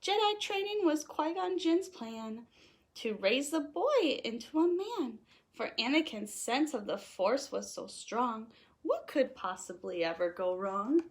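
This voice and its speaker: Woman reading kids rhyming book out loud, highish voice, super animated and playful. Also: a little slow. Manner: animated, playful, emphatic on rhymes. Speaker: storytime host reading aloud. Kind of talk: expressive children's picture-book read-aloud.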